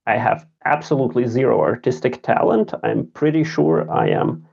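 Man from Latvia, 30s, gravelly dark voice, halting with fillers, dull lo-fi sound. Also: mid-range voice, very animated. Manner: halting, many filler sounds, self-deprecating. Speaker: game designer and programmer, guest. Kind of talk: casual podcast interview.

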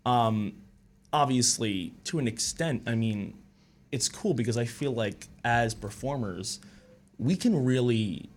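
A young man, a musical theatre student, speaking as a radio guest in a relaxed, halting way full of fillers. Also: medium pitch. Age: young adult. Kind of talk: casual radio interview chat.

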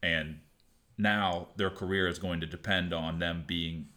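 A man who coaches shooting, talking casually on a podcast in a halting, laid-back way full of restarts and fillers. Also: deep voice, fairly even intonation, pushed and bright.

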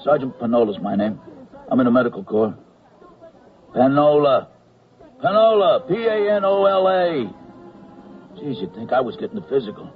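An actor playing a stubborn, officious army medical sergeant with gruff, slangy talk in a radio comedy drama. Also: male voice, medium pitch, sing-song, normal speed, pauses often, close to an everyday voice. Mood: impatient, then exasperated. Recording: dull, band-limited radio recording.

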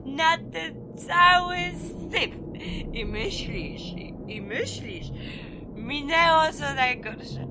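A woman's voice: high voice, expressive, extremely slow, background noise, exaggerated voice. An actress playing a bitter, drunken woman fresh out of prison, rambling and swearing in slangy speech in an audio drama. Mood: bitter, despairing. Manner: rambling, vulgar, slurred drunken repetitions. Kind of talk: Polish audio drama, slangy profane monologue. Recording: music under the voice. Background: music.

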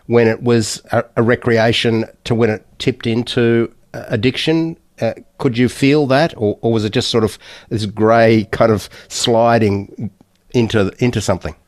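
A man's voice: medium pitch, fairly even intonation, moderate pace, no echo, studio quality. A man hosting a community radio show, who asks questions in a hesitant way and often stumbles over his words. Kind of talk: radio interview.

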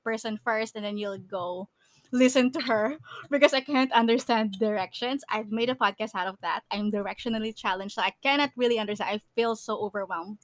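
Chatty Filipino woman, slightly high voice. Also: a little fast. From Philippines. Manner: chatty, laughs often, many restarts. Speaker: podcast co-host. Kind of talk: casual chat.

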